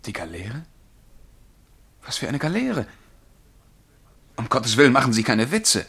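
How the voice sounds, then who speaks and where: A lovestruck young poet in a radio play. He is nervous and ardent, speaking in breathless, earnest bursts at a medium male pitch. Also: wildly swooping pitch, a little slow, vocal fry, a bit bright, husky, full character voice. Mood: indignant, earnest. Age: young adult. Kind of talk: German radio drama acting.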